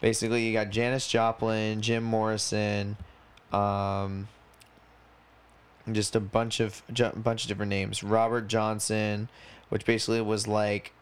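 A man's voice: fairly low pitch, monotone, unhurried, clean tone. A man in his twenties chatting casually as a podcast co-host, rambling and slangy, swearing freely. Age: 20s. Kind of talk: casual chat.

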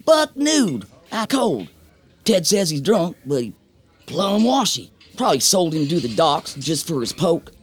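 A man's voice: fairly high pitch, very animated, measured pace, breathy edge, heavily acted. A man playing a cocky, slangy 1890s mill-town yarn-spinner in an audio drama, telling tales eagerly. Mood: gossipy, cocky. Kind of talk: scripted audio drama acting.

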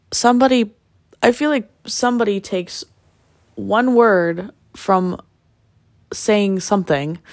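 A woman hosting a casual solo podcast while sick, with a raspy voice, rambling and joking at her own expense. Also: fairly low pitch, a little mellow, no room sound, clean studio sound. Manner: rambling and self-deprecating with jokes. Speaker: podcast host; music producer.

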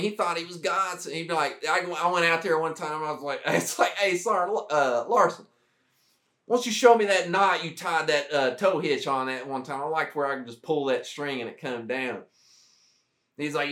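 A man's voice: a little high, sharp tone. A man with a Southern US accent telling war stories in a casual, profane drawl as a podcast guest, often laughing. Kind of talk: casual storytelling chat.

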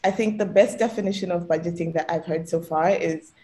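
Relaxed young woman, lowish voice. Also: fairly quick. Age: young adult. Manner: relaxed, explanatory, laughs lightly. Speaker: student guest. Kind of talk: casual podcast chat.

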